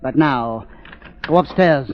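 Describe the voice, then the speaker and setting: A man's voice: medium pitch, takes it slowly, close to an everyday voice. A man playing a coolly menacing villain doctor in a theatrical 1930s radio mystery style, suave and commanding. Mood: commanding, dismissive. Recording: muffled 1930s radio recording.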